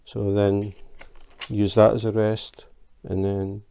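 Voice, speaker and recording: A Scottish man with a gravelly, warm voice that sits a little low. He talks through a crafting tutorial at an easy, chatty pace, dotted with ums and restarts. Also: little pitch movement. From Scotland. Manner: chatty and unhurried, with fillers. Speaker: crafting channel host. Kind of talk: casual tutorial.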